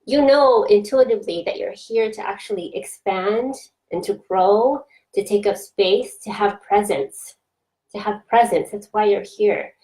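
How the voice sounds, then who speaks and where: Woman coach, gentle reflective chat, mid-pitched voice, live stream talk. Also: measured pace, poor audio. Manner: gentle, reflective, many fillers and restarts. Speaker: coach for introverted business owners. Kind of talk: casual Facebook Live talk.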